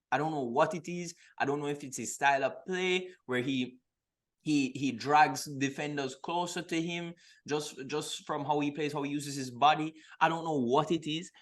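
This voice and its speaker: A man's voice: fairly high pitch, a bit bright. Emphatic man chatting football, slangy. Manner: emphatic, slangy, repeats words for stress. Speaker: podcast co-host. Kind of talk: casual football chat.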